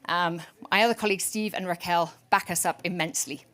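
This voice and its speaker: A woman chief executive giving an energetic, joking startup pitch to investors from a conference stage. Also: fairly quick.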